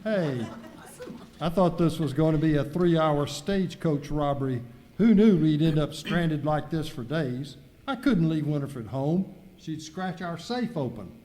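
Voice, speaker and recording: A man's voice: on the high side, lively intonation, measured pace, dark tone. A man playing a fretful, fussy western outlaw who dotes on a pet, in an amateur live radio skit in an echoey, noisy hall. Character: fretful outlaw doting on his pet armadillo.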